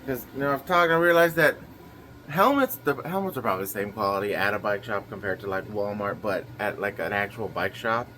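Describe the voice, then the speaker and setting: A man's voice: on the low side, lively intonation, fairly quick, pushed and bright, a little hiss or hum. A young man chatting casually through his daily vlog plans, a little nasal and rambling with lots of fillers. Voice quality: slightly nasal. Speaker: vlogger.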